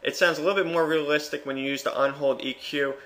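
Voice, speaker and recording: Fast, bright-voiced man presenting a tutorial. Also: fairly high pitch, steady tone. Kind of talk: casual software tutorial narration.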